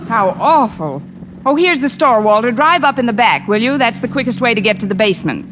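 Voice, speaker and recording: A woman playing a sardonic high school teacher in 1940s radio comedy, delivering dry, deadpan wisecracks. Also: a little fast. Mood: dry, sarcastic. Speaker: actress in a radio sitcom. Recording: noisy vintage 1940s radio recording.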